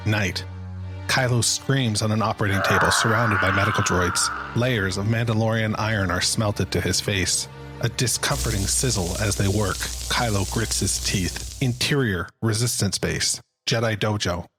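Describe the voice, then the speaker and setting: A man serves as narrator of a dramatic script, reading scene headings and action. His voice sits fairly low. Soft music runs underneath. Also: normal speed.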